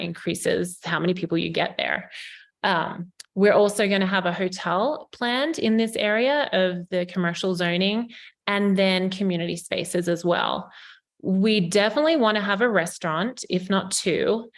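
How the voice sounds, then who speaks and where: A woman in her forties with an Australian accent, a developer giving an upbeat, informal presentation to a town council over a video call, with plenty of ums. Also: medium pitch. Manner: upbeat, selling, frequent ums.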